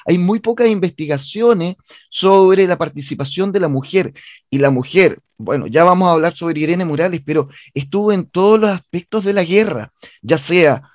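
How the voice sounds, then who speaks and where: A man's voice: high-pitched, animated, moderate pace, no room sound, muffled recording. A man with a Chilean accent giving an earnest, explanatory interview as a theatre director, telling stories and repeating phrases for emphasis.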